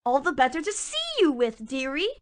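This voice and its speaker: A woman's voice: high-pitched, animated, brisk. A woman voicing a sly, scheming female big bad wolf in a children's cartoon, with a mock-sweet, theatrical, wheedling delivery. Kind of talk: children's cartoon fairy tale acting.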